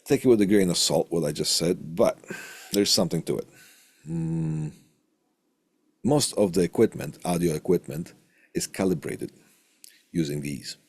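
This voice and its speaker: Man, very low husky voice, college instructor, hesitant pauses, informal lecture, close dry studio mic. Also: a little mellow, no echo. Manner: halting, hesitant delivery with long pauses. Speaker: college instructor teaching audio equipment. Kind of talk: informal recorded lecture over slides. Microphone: close studio condenser microphone through tube preamp.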